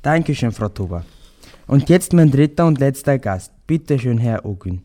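A man's voice: medium pitch, animated, moderate pace, mellow. A sixteen-year-old boy from Vienna hosting a school radio interview, reading out formal introductions and questions. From Vienna, Austria. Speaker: student radio host.

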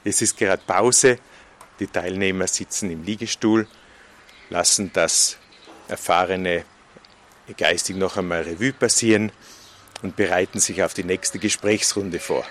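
A man with a low voice delivers radio reportage on location. He narrates what surrounds him as a reporter, in a descriptive running account. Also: steady tone, measured pace, pauses often, fairly bright tone, slight background noise. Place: outdoors on a terrace during a conference break.

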